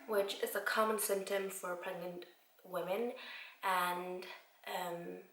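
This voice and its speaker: A woman in her twenties from Iceland, slightly raspy after a cold, chatting casually to camera with lots of fillers. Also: fairly low pitch, flat delivery, slow pace, a bit bright, reverberant, rough recording. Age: 20s. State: recovering from a cold. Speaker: vlogger. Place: home room.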